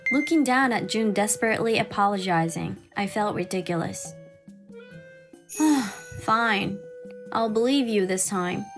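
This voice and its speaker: A woman playing a wary, hurt young wife who narrates her troubles in an expressive manga dub style. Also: mid-range voice, a little slow, close to an everyday voice. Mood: stern, suspicious. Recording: music behind the voice.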